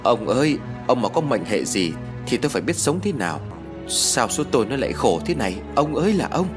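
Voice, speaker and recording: An old village wife from an audio story, acted out by a male narrator, quick to anger and anxious. She scolds and laments in a rustic, emotional way. The pitch swings widely, with faint music behind. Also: very animated. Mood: distraught, pleading. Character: hot-tempered, foul-mouthed old village wife, anxious and wailing.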